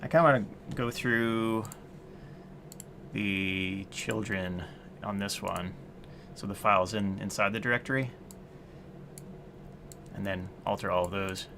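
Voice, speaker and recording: A man's voice: lowish voice, fairly even intonation, unhurried, long pauses, bright, forceful tone, a little hiss or hum. A man in his late thirties chatting casually on a live stream as he works at the computer, thinking aloud to himself, answering viewers and chuckling often. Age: 30s. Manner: muttering to himself while working, chatting with viewers. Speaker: streamer coding on a livestream. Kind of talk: casual live-stream chat while working.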